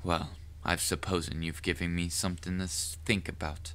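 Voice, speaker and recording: Guy voicing a deep forceful assassin who's smitten, proud and kinda menacing, talks all formal and deliberate, audio rp. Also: little pitch movement. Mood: rueful, intrigued. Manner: formal wording, deliberate, measured. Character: lovestruck male assassin, cunning, proud, quietly menacing yet smitten. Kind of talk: scripted audio roleplay monologue addressed to the listener.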